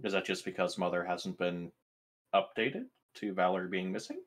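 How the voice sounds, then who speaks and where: A man playing a tabletop roleplaying game, asking questions in a casual, methodical way with hesitant pauses. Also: deep voice.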